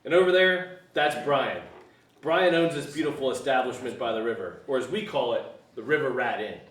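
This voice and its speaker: A man's voice: medium pitch, moderate pace, a bit bright, recorded from a distance, lightly acted. A man playing the grumbling organiser of a buddies' fishing trip at a screenplay table read. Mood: matter-of-fact. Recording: echoey room, off-mic, faint hiss.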